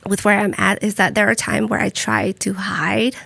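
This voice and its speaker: A woman's voice: mid-range voice, steady tone, normal speed, creaky, fairly bright tone, dry recording. A young woman from Vietnam, a podcast guest telling personal stories in a reflective way, with many fillers and restarts. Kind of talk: personal storytelling in conversation.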